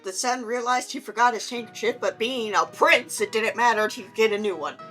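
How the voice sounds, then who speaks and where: A woman with a highish voice chips in as co-host of a story reading. Her talk is casual banter, teasing and ready to argue. Light music plays underneath. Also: fairly quick, a bit bright.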